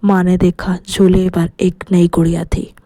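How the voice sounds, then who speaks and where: Female storyteller for scripted horror tales, dark, soft-edged tone. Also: on the low side, little pitch movement, measured pace. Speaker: narrator.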